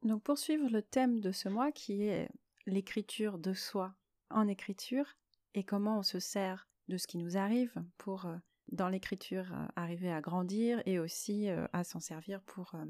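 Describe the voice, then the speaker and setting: A woman hosting a solo podcast, explaining her personal experience in a reflective monologue with frequent pauses mid-phrase. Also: mid-range voice, a little mellow, no room sound, studio quality. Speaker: podcast host.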